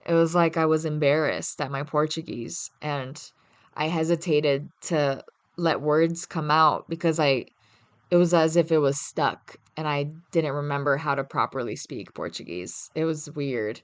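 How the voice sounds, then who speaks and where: A woman's voice: sits low, measured pace, clear, resonant tone, no room sound. A young woman from Brazil, a guest chatting casually in an interview, laughing often between her thoughts. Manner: laughs often, hesitant fillers.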